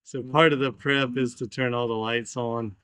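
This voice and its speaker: A man makes low-key small talk in a casual vlog chat. His voice is clear and fairly flat, mid-pitched, with very little room sound around it. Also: moderate pace, no echo.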